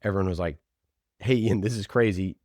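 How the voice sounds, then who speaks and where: A man's voice: low voice, a little fast, darkish tone, no room sound, clean studio sound. A man talking casually as an interviewed podcast producer, telling stories in an informal, enthusiastic way peppered with "like". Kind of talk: casual conversational storytelling.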